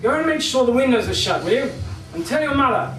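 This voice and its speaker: A man's voice: very high voice, expressive, quick pace, a bit bright, faint music behind, voice pushed up. A man voicing a slyly amused, commanding father in a short story read live. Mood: amused, directing. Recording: distant, echoey live recording in a venue.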